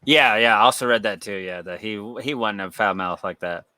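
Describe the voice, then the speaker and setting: A man's voice: lowish voice, a little fast, bright, forceful tone, no room sound. A man co-hosting a podcast in loose, rambling chat, laughing often and swearing freely. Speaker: podcast co-host. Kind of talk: casual chat.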